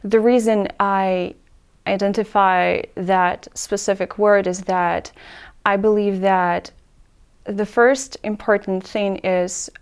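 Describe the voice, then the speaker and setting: A woman, an English tutor answering interview questions in a measured way that lays out her points in order. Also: mid-range voice, steady tone, clear, resonant tone. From Ukraine.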